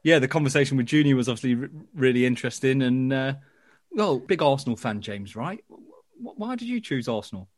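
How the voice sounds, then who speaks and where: A man's voice: mid-range voice, animated, fairly quick, no room sound, studio quality. A man with a Geordie accent co-hosting a podcast in casual, jokey banter. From Newcastle, England. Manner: jokey, self-mocking banter. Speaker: podcast co-host.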